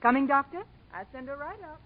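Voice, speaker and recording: Gentle, fussing mother, higher-pitched. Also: expressive, brisk. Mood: concerned. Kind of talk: 1940s radio acting. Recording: muffled 1940s radio recording.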